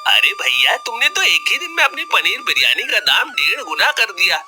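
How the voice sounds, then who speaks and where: A plain-spoken, friendly village customer in a cartoon tale. His voice is raised in pitch and very bright, with expressive, swinging intonation and music behind it. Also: moderate pace, exaggerated voice. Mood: surprised, grudging. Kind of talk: Hindi cartoon story voice acting.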